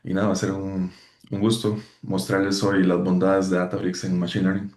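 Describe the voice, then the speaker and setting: A man's voice: deep voice, monotone, moderate pace, a little mellow, roomy sound. A man introducing himself as a solutions engineer on a webinar, with fillers between phrases. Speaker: senior solutions engineer, co-presenter.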